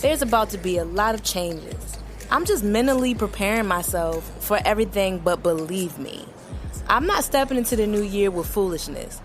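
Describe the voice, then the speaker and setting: A woman's voice: mid-range voice, expressive, moderate pace, faint music behind, close to an everyday voice. An actress playing a fed-up, suspicious wife and mother, talking to a friend in emphatic, slangy audio drama dialogue. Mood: resolved.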